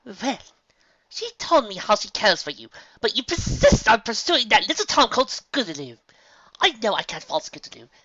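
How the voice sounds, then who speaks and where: A woman putting on a posh, dramatic unicorn mare from a storybook, raised well above a plain speaking voice and swooping through wide, bright lines. She sounds refined and fussy, carefully polished in every phrase. The recording is dry and a little dull. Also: on the high side, very animated, normal speed, dry recording, voice pushed up, full character voice. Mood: stern. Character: elegant, dramatic grown unicorn mare seamstress.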